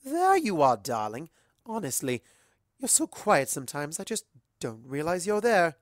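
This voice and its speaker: A performer voicing an elegant, gracious unicorn mare socialite in a storybook reading, with refined, effusive, drawn-out phrasing. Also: medium pitch, big pitch swings, dry recording, studio quality, voice pushed up. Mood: bright, fond. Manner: effusive, genteel, drawn-out words.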